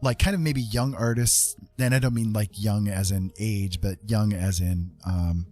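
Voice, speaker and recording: A man's voice: fairly low pitch, steady tone, measured pace, warm tone, faint music behind. A man hosting a casual drawing tutorial, thinking aloud in a rambling, informal way with frequent tag questions. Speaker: art tutorial host.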